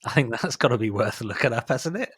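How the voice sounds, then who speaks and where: A man's voice: medium pitch, animated, fast, a bit bright, husky, no echo, clean studio sound. A middle-aged man hosting a music podcast in casual chat, making wry, dismissive asides as he reads out a track list. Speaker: podcast host.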